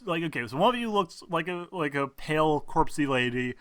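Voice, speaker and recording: Bright-voiced, sweary male game master. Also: on the high side, expressive, fairly quick, no echo. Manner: sweary, teasing. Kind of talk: casual tabletop game narration and banter.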